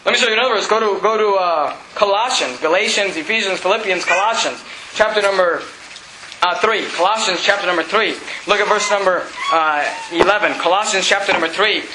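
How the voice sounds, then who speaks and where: A Venezuelan-born man preaching an emphatic sermon as pastor, shouting his points and repeating verse references in a church with the congregation answering. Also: high voice, a little fast, background noise. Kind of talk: fiery evangelical sermon. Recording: echoey church with congregation responses.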